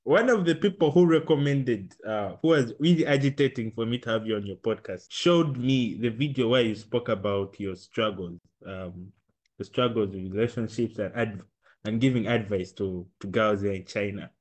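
A man hosting a podcast interview, affirming his guest often and pausing with fillers. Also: lowish voice, darkish tone. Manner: affirming, says "nice" often, hesitant fillers. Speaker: podcast host. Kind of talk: conversational interviewing.

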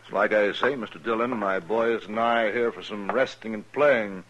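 A taunting western outlaw leader acted by a man with a lowish voice. The talk is smooth and mock-peaceable, goading underneath, on an old radio drama recording with faint noise. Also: normal speed, a bit bright, lo-fi sound, close to an everyday voice. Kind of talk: 1950s radio western acting.

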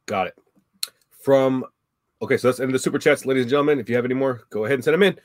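A man moderating a livestream debate, reading out viewer questions in a brisk, flat way. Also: mid-range voice, no room sound, clean studio sound.

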